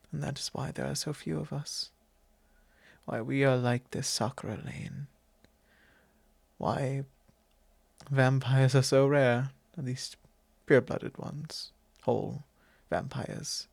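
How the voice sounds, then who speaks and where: A man playing a courteous, confiding vampire lord in a soft, intimate ASMR roleplay, speaking solemnly and tenderly in measured, formal, hesitant phrases close to the microphone. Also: medium pitch, steady tone, creaky, breathy edge, no room sound, exaggerated voice. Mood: grave, hushed.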